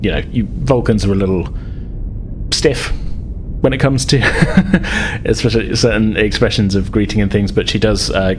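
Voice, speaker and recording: A man narrating a tabletop sci-fi role-playing game as game master, descriptive and somewhat halting. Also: fairly low pitch, a little hiss or hum. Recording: music playing behind the voice.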